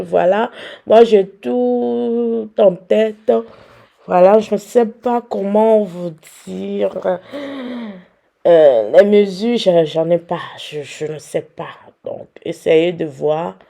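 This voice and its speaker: Hoarse young woman, unhurried and gravelly, teasing viewers while she cooks. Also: medium pitch, mellow. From Benin. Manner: chatty, teasing, addresses viewers directly. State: hoarse from a cold. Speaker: cooking vlogger. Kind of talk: casual cooking demonstration.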